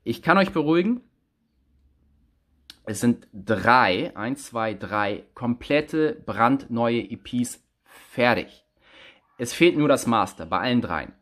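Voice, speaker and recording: Guy in his 30s vlogging into his phone, chatty and animated, mid pitch, small room. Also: slow pace. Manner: lively, animated storyteller with big pitch swings. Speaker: rapper. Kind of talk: casual selfie vlog storytelling. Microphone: phone held close at arm's length.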